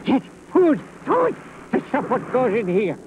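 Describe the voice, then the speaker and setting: Pompous bragging pirate captain, very high voice, noisy background. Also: male voice, huge pitch swings, unhurried, phone-quality sound, heavily acted. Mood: overjoyed. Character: blustering boastful pirate captain and cook.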